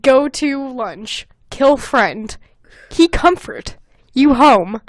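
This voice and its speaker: A young woman hosting a podcast in casual comedic chat, reading aloud and commenting with animated, sweary exasperation. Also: high voice, drawn-out words, no room sound. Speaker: podcast host.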